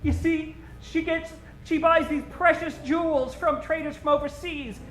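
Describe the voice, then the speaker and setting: A man's voice: very high voice, steady tone, measured pace, a bit bright, echoey room, soft background music, rough recording. A man playing a wisecracking, hapless small-time crook in an old-time radio comedy. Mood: confessing.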